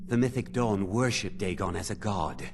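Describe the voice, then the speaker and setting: A man's voice: fairly low pitch, moderate pace, creaky, slight background noise. An actor playing an eager, ominous museum keeper in a video game, telling his lore in a dramatic, theatrical way. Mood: ominous, reverent. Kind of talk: scripted video game dialogue.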